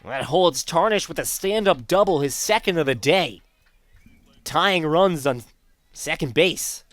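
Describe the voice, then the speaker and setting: Higher-pitched male baseball play-by-play announcer. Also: lively intonation, measured pace, fairly bright tone, dry recording. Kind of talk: live sports play-by-play commentary.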